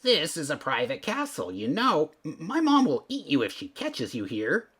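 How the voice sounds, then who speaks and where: A man's voice: a little high, wildly swooping pitch, moderate pace, a bit bright, husky, pitched above the performer's own voice, full character voice. A man voicing a spoiled, bossy teenage boy beast from a storybook, cocky and blunt. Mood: indignant. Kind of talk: storybook character voice.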